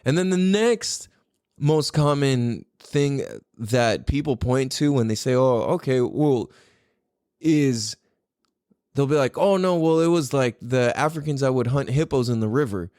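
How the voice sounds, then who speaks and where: A man's voice: medium pitch, expressive, measured pace, a little mellow, no room sound, studio quality. A man hosting a podcast in a casual, rambling, opinionated way, speaking animatedly and swearing freely. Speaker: podcast host.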